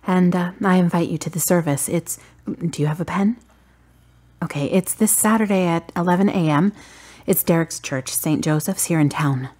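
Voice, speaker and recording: An actress playing a wry, guarded, stubborn daughter in a radio drama, with a husky voice and a deliberate, dry delivery. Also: female voice, lowish voice, a little mellow, lightly acted. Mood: polite, subdued.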